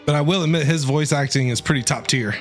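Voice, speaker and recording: A man's voice: fairly high pitch, fast talker, soft background music. A man livestreaming a game, reacting in casual, blunt slang and often repeating himself. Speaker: game streamer.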